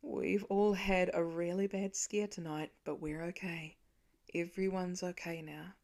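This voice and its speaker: A woman's voice: lowish voice, flat delivery, a little slow, a little mellow. A devoted, protective dad of young children, voiced by a woman narrating an audiobook. He talks in an earnest, parental way, full of care for his child. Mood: soothing. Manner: soothing, earnest parental talk. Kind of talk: audiobook character acting.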